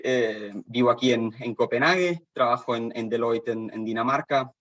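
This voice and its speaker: A man's voice: medium pitch, normal speed, fairly bright tone. Hesitant Spanish man lecturing over muffled audio. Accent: Spanish from Spain. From Spain (Barcelona), half Danish. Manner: hesitant, many fillers and restarts. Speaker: guest lecturer, sustainability consultant. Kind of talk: online seminar presentation. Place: office in Copenhagen.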